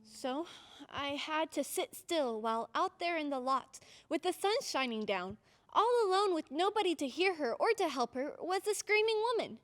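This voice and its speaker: A woman playing an excitable, insistent little girl in an old-time radio drama, with a dramatic, urgent manner. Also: high-pitched, normal speed, soft background music. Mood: wistful recounting.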